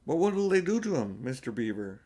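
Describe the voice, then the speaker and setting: A man's voice: mid-range voice, big pitch swings, brisk, warm tone, pitched above the performer's own voice. A man voicing a tender-hearted young girl in a storybook, with an earnest, pleading manner. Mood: anxious. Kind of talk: storybook character voice.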